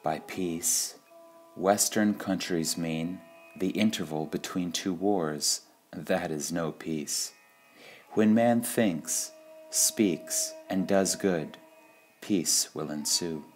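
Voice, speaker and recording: A man reads short spiritual sayings in a measured, deliberate devotional style, pausing between phrases. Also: sits low, a little mellow. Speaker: reader of spiritual quotations. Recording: music under the voice. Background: background music.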